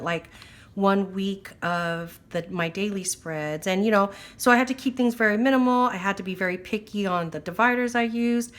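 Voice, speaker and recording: Chatty woman, slightly wheezy voice. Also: mid-range voice. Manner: chatty, filler-heavy, apologetic. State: recovering from illness, slight wheeze. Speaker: planner vlogger. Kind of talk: casual chat. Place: at a table indoors.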